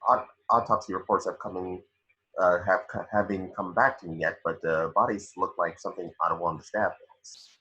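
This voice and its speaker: A man acts out a grave detective in a horror film script read at home. The voice sits very deep yet has a clear, forceful brightness. The delivery is formal and official. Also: steady tone, brisk, lo-fi sound. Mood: grave, insistent. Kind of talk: amateur table reading of a film script. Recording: home recording.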